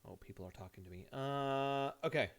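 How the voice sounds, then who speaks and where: A man's voice: mid-range voice, fairly quick, vocal fry. A man doing casual live game-stream commentary, rambling playfully with dry asides. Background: music.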